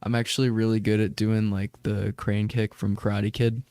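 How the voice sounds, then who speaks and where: A young man with a low voice full of gravel, a band guest rambling and joking through his answers. The sound is close and dry, with no room around it. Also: little pitch movement, moderate pace, mellow, dry recording. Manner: rambling, many "like" and "you know" fillers, joking. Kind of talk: casual chat.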